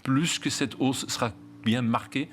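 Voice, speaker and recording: A man's voice: mid-range voice, a little slow, slight background noise. A middle-aged man from Flanders with a Flemish Belgian accent, being interviewed about his property business and speaking with many hesitations and restarts. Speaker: real estate company founder. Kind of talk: interview answers.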